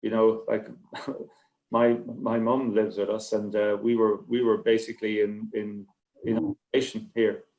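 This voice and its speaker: A man, a writer on a panel, talking casually and reflectively in a halting, rambling, understated way. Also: lowish voice, flat delivery. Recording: slightly dull, lo-fi connection.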